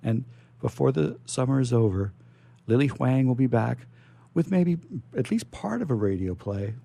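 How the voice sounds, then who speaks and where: A man hosting a live radio interview, chatting in a halting way and often repeating words as he goes. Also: medium pitch, lively intonation, vocal fry, dark tone, no echo. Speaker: radio show host.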